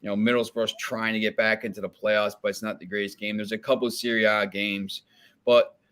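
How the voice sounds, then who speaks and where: A man talks through soccer picks in a loose, rambling way. He repeats words and fills the gaps with "you know" and "like". His voice sits a little low but sounds bright and forward. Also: flat delivery, fairly quick, clean tone. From New Jersey, United States. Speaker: soccer betting guest. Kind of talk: casual betting chat.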